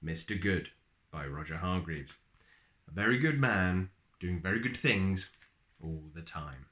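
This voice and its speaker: A man with a lowish voice reads a children's picture book aloud. His delivery is slow and highly animated, swooping across a wide range. He leaves dramatic pauses and stretches out words for effect. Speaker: storyteller reading aloud.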